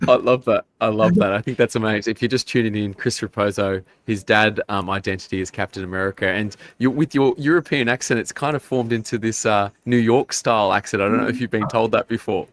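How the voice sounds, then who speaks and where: A man hosting a live interview show, effusive and quick to praise his guest. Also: fairly low pitch, dry recording. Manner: enthusiastic, effusive, repeats praise. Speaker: podcast host.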